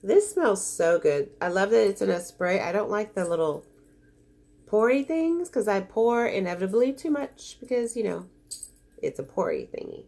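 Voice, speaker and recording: A woman's voice: mid-range voice, big pitch swings, normal speed, vocal fry, a little mellow. Stuffy-nosed woman, casual beauty vlogger, gushing and chatty. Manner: chatty, gushing, easily disappointed. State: congested. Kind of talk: casual unboxing chat.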